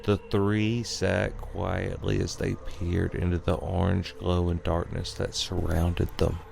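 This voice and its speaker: Casting: male narrator for a scary tale, slow, gravelly, theatrical and ominous. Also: low-pitched, level tone, a little mellow, slight background noise. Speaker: storyteller. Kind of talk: dramatic scripted horror narration. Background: music.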